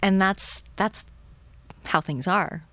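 A woman's voice: mid-range voice, takes it slowly, bright, forceful tone, dry recording. A woman whose first language is Japanese, speaking English as a poet and translator in a thoughtful, musing conversation, often laughing lightly. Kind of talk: conversational interview.

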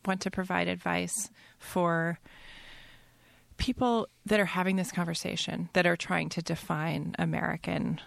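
A woman hosting an interview show, soft-spoken and asking questions. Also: fairly low pitch, steady tone, a little slow, vocal fry, dry recording. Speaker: show host.

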